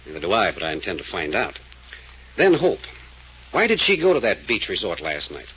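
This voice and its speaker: An actor playing a blunt, insistent private investigator in a 1940s radio drama, speaking in a firm, probing way. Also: male voice, low voice, huge pitch swings, normal speed, fairly bright tone, slightly rough, exaggerated voice. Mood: pressing, grave. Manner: firm, probing, matter-of-fact. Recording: noisy vintage radio recording.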